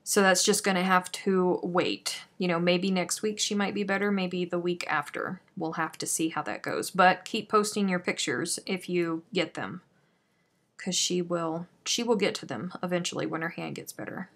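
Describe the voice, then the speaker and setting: A woman's voice: fairly low pitch, fairly even intonation, normal speed, fairly bright tone. A woman hosting a live crochet stream, chatting casually and self-deprecatingly as she reads out viewer comments.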